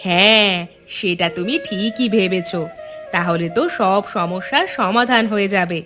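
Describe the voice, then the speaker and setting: A woman's voice: medium pitch, unhurried, sharp tone. A woman playing a caring, agreeable middle-aged village wife and mother in a cartoon, supportive and coaxing. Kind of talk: cartoon voice acting. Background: background music.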